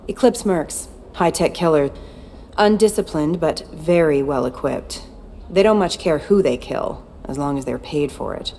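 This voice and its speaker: A woman's voice: deep voice, measured pace, warm tone, slight background noise. A woman playing a tough, cynical former security chief in video game dialogue, blunt and guarded. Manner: blunt, matter-of-fact.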